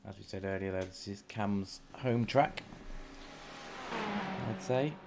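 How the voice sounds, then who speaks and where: A man giving casual, chatty live sim racing commentary as a stream commentator, with a gravelly voice. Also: lowish voice, sing-song, slow. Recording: clear background noise on stream.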